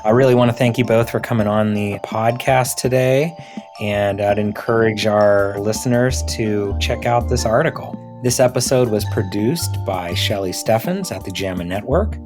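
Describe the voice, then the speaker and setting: A man's voice: on the low side, normal speed, music in the background. A man who is a physician hosting a medical podcast, talking conversationally with informal, affirming phrasing.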